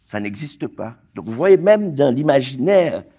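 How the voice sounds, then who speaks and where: A man's voice: mid-range voice, sing-song, measured pace, mellow, husky. A man in his sixties from Haiti, whose first language is Creole, speaking passionately as a poet on a round-table panel on a theatre stage. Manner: impassioned, gesturing, building to emphatic peaks.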